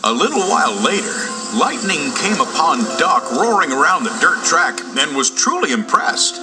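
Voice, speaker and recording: Male children's story narrator, dramatic and animated, slightly high voice, over a loud musical score. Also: moderate pace, music in the background.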